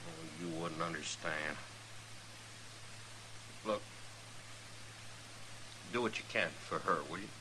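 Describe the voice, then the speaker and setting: An actor playing a bitter, defiant jailed outlaw, curt and surly, in 1950s radio western style. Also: male voice, sits low, slow, long pauses. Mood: bitter, resigned. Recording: hissy old radio recording.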